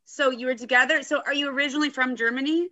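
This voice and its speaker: A woman's voice: high voice, steady tone, fast, bright, forceful tone. A woman hosting a podcast as an interviewer and coach, speaking on a slightly muffled recording. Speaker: podcast host and divorce coach. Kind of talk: podcast interview with a scripted intro.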